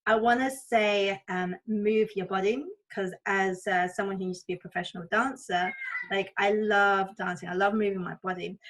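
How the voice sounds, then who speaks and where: A woman's voice: mid-range voice, steady tone, normal speed. A woman from Thailand talks as a guest in an interview, with a mid-range voice. She is open and reflective, speaking in a loose, conversational flow with the odd "you know". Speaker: interview guest, spiritual coach and healer. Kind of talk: candid, reflective personal interview talk.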